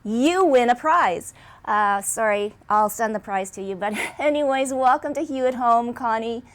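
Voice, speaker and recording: A woman's voice: a little high, a little slow. A woman hosting an interview show in a bubbly, playful way, laughing often. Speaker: host. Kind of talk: casual interview chat.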